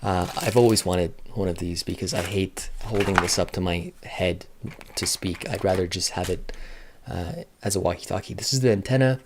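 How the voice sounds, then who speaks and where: A man with a fairly low voice talks through a product in a casual, unscripted way. He thinks aloud and keeps a matter-of-fact manner, like a reviewer working things out as he goes. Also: moderate pace, background noise.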